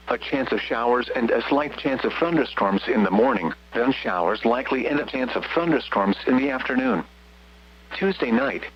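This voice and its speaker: A synthetic man's voice reads a scripted weather bulletin over the radio in formal, impersonal, clipped phrases. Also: medium pitch. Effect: radio broadcast. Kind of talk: scripted marine forecast and advisory bulletin. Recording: muffled, noisy weather radio with hum.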